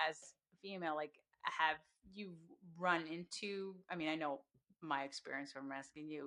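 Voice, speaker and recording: A woman's voice: sits low, pushed and bright, dry recording. A woman hosting a podcast, chatting casually with lots of fillers. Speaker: podcast host. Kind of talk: casual chat.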